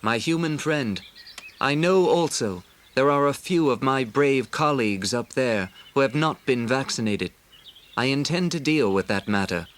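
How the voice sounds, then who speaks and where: A man performs a noble alien rebel leader for a storybook drama. He is earnest and resolute, with formal phrasing and a middling pitch. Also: a bit bright, close to an everyday voice. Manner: formal, measured wording, earnest. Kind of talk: dramatised storybook audio acting.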